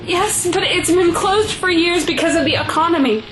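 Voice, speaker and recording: A woman performs a guilt-ridden mother afraid for her son, her voice pitched high. She is emotional and pleading in audio drama style, with prominent music underneath. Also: moderate pace. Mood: worried, subdued.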